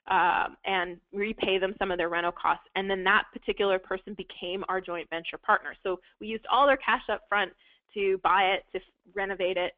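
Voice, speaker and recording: A woman's voice: medium pitch, dry recording. A woman presenting a live real estate investing webinar, explaining at length with frequent fillers and restarts while her voice wears thin. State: losing her voice. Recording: dry, phone-like webinar audio.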